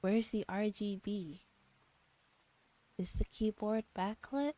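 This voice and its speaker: Mellow, soft-voiced young woman streaming. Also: medium pitch, slow pace. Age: young adult. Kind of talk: soft ASMR visual novel read-along and casual chat. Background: game music.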